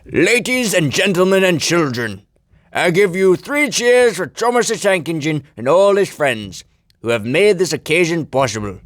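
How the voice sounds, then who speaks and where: Man voicing a booming, pompous railway boss. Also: sing-song, moderate pace, no room sound, clean studio sound. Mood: proud, hearty. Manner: booming, clipped orders, formal address. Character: stern, pompous male railway boss, proud and commanding. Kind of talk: children's storybook character voice.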